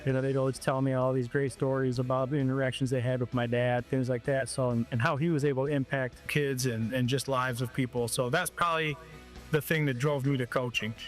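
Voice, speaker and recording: A man in his forties, a high school football coach from Ohio, answers interview questions at a mid-range pitch. He talks haltingly, restarting and repeating words as he gathers his thoughts. Soft music plays faintly behind him. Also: fairly even intonation.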